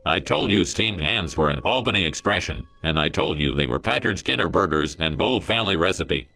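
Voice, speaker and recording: A man's voice: deep voice, lively intonation, creaky, bright, forceful tone, no room sound, full character voice. A man doing a harsh, wet-voiced sinister principal. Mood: ominous. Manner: ominous, slow and menacing. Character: sinister scheming male school principal.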